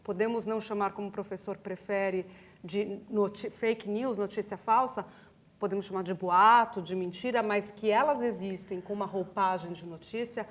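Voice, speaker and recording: A woman's voice: mid-range voice, fairly even intonation, moderate pace. Brazilian woman in a panel discussion.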